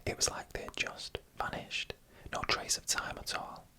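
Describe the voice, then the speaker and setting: Asmr storyteller whispering creepy stories, all breath no voice, crisp bright hiss, slow n deliberate. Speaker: host and narrator.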